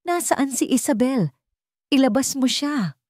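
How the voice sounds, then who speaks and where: A woman narrator puts on a furious, greedy mother for a romance audio drama. The voice is pushed high and comes out accusing and demanding, with a dry, close sound. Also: animated, a little slow, a little mellow, no room sound. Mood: angry, demanding. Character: angry, greedy, domineering mother barging into a mansion.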